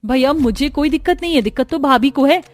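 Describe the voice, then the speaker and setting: A woman's voice: high-pitched, fast talker, dry recording, studio quality, close to an everyday voice. A woman voicing an irritable, possessive young sister-in-law in a Hindi family cartoon, snappy and defensive. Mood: defiant.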